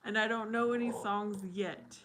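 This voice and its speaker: A woman's voice: medium pitch, fairly even intonation, moderate pace, background noise. A woman live streaming and chatting casually with viewers, answering them by name and laughing often. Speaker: live streamer reading chat.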